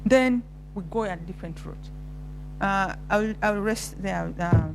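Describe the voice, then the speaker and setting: Woman speaking haltingly on a panel. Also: mid-range voice, creaky, music in the background, poor audio. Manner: halting, many restarts and fillers. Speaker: panelist from a research institution. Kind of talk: spontaneous panel remarks.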